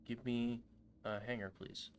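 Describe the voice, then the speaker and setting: A man with a stuffy nose from a cold, streaming a game and chatting with viewers in a relaxed way full of fillers. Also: mid-range voice, measured pace, smooth. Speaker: gaming streamer. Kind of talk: casual live-stream chat while playing.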